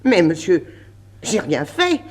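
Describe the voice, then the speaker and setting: An actress playing a timid, easily flustered unmarried cook in a 1960s French radio drama, prim and full of exclamations. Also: female voice, lowish voice, big pitch swings, slow pace, a little mellow. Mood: alarmed, protesting.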